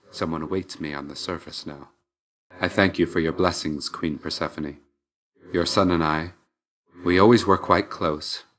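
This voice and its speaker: Game role: young male death god, deep low register, dutiful, reserved, measured delivery. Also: fairly bright tone. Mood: formal, respectful. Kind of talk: video game character voice acting.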